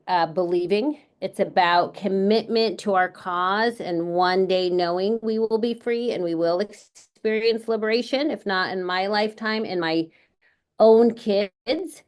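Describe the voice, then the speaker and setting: A middle-aged woman from California, an educator, speaking earnestly and in a measured way at a book launch panel, with frequent pauses and fillers. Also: mid-range voice, clean tone. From California, United States.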